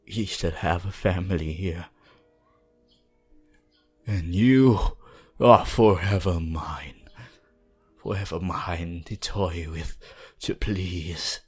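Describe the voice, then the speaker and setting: Possessive male vampire, ASMR roleplay: deep, breathy, sinister, archaic and theatrical, extremely unhurried delivery. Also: soft background music, full character voice. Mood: gloating, commanding. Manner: theatrical, slow, menacing, archaic phrasing.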